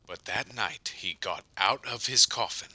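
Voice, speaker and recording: A man's voice: fairly low pitch, measured pace, bright, forceful tone, husky, exaggerated voice. A man playing a brooding, menacing, jealous rival suitor in an audio drama. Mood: deadpan storytelling. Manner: menacing, intense.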